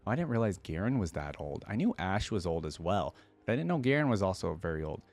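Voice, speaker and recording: A man's voice: deep voice, very animated, fast talker, a little mellow. A man hosting a reaction video, reading text aloud and commenting on it in a casual, informal way. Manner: informal, addresses viewers as guys and dude.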